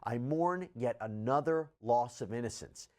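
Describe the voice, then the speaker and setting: A man presenting news and reading out statements with measured, deliberate emphasis. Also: medium pitch, animated, darkish tone. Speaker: news commentator.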